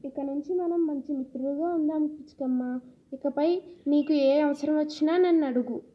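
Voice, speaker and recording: A woman's voice: high-pitched, moderate pace, lo-fi sound, slight background noise, voice pushed up, natural voice. A woman voicing a self-concerned mother crow in a children's puppet story, with emphatic, repeated short phrases. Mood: sorry, grateful.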